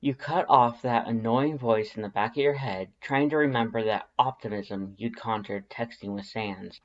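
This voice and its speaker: A narrator reading fan fiction aloud in an expressive, scripted storytelling style. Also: mid-range voice, moderate pace, lo-fi sound.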